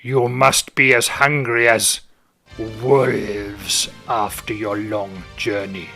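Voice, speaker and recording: Towering old manservant, voiced by a man, drawn-out, clipped broken phrases, ominous. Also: mid-range voice, level tone, sharp tone, faint music behind, full character voice. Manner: slow, halting broken phrasing. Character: towering elderly male castle manservant, ominous.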